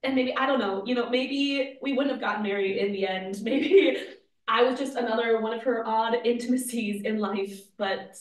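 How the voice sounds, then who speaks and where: A woman playing a wistful, grieving woman remembering her lost love, halting and reflective in a staged play reading. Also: fairly high pitch, steady tone, close to an everyday voice. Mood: hesitant, wistful. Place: indoor room, live play reading. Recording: echoey room, far from mic.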